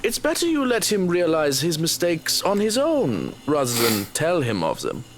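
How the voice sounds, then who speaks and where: An actor playing a sassy, sly assistant in a video game, with a wry, measured, smug delivery. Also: male voice, a little high, wildly swooping pitch, noisy background.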